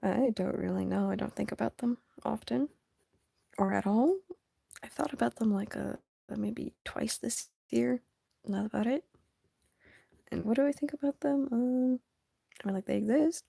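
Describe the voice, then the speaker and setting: A young woman chatting casually on a live stream while she draws, soft and half-whispered, sniffly, her voice going hoarse. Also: a little high, normal speed, pauses often, no room sound, clean studio sound. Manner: soft, often half-whispered, hesitant and rambling.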